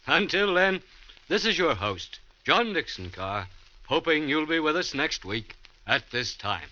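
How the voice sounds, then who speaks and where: A man's voice: on the high side, very animated, measured pace, sharp tone. A man hosting a 1940s radio mystery show, reading scripted narration in a theatrical, formal, suspenseful manner. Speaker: host. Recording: muffled 1940s radio recording.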